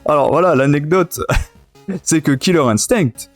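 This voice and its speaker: A man's voice: fairly high pitch, unhurried, soft background music. A man in his thirties hosting a casual podcast chat, enthusiastic and often repeating himself. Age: 30s. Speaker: podcast and web radio host. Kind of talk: casual chat, reminiscing about retro gaming.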